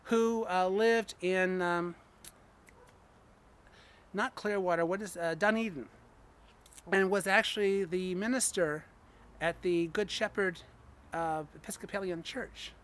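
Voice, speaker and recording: A man's voice: high-pitched, steady tone, takes it slowly, long pauses, a bit bright, clean tone. A man giving an informal personal talk to an audience, hesitant and searching for words but enthusiastic. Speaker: story reader speaking to an audience.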